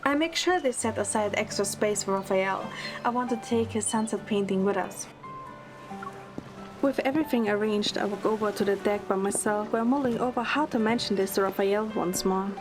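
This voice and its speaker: A woman's voice: medium pitch, moderate pace, close to an everyday voice. A woman playing a playful, teasing young heroine who narrates her story in an audio drama, in the first person. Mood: gentle narration. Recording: music under the voice. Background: background music.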